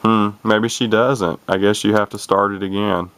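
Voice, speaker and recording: A man giving a casual, unscripted radio demo in a folksy, rambling way, thinking out loud as he goes. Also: fairly low pitch, fairly even intonation, normal speed, smooth, no echo. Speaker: hobbyist demonstrating a CB radio.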